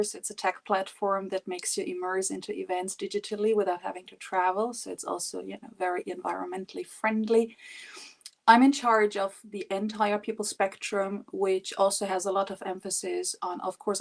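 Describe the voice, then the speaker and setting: A woman's voice: medium pitch, creaky. A woman in her forties from Germany, introducing herself on an online panel in an earnest, thoughtful and expansive way. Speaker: panelist, HR executive.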